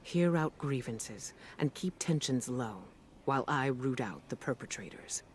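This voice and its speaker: An actor playing a calm, resolute commander giving a grave, authoritative briefing in a game cutscene over music. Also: male voice, mid-range voice, unhurried, slight background noise, natural voice. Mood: firm. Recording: music behind the voice. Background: cinematic music.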